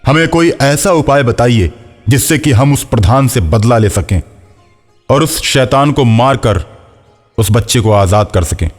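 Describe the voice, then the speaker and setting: A man playing an earnest, troubled village headman in an animated horror story. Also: mid-range voice, a little slow, long reverb tail, soft background music. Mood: pleading. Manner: earnest, pleading. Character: distressed, indignant male village headman.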